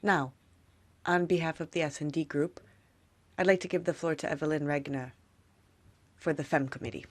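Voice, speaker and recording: A woman's voice: low-pitched, fairly even intonation, moderate pace, pauses often, no room sound. Formal woman chairing a hearing, dry sound. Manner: formal, procedural.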